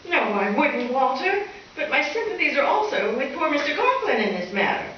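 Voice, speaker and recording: A woman's voice: sits high, very animated, moderate pace, dull audio. A woman playing a wry, sensible schoolteacher, articulate and reproving, in old-time radio comedy style. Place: live stage performance in a reverberant room. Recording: echoey distant stage recording, faint noise.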